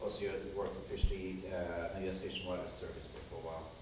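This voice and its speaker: A man's voice: low voice, flat delivery, quick pace, a little mellow. Norwegian accent guy giving a talk, kinda hesitant and rambly, mic far away in a really echoey room, bit of noise. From Norway. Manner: hesitant, rambling, repeats and rephrases himself often. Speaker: conservation project founder giving a guest lecture. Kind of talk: informal slide presentation to an audience. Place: indoor university lecture room.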